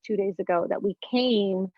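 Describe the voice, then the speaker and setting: A woman's voice: mid-range voice, normal speed, dry recording. Earnest fifty-year-old woman, muffled dry recording. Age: 50s. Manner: earnest, searching, circling back on her words. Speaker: guest. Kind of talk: casual spiritual conversation.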